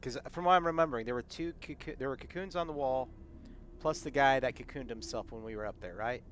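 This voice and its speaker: A man's voice: medium pitch, quick pace, fairly bright tone. A man with a mid-range voice talks casually as a player in a tabletop game. Faint noise sits behind his voice.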